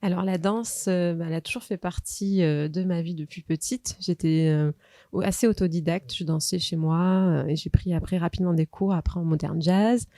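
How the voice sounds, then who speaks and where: A woman's voice: lowish voice, fairly even intonation, normal speed, dark tone, no room sound, clean studio sound. A middle-aged woman from Marseille, a dancer, recalling her path in an interview with many hesitations. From Marseille, France. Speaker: dancer at the school.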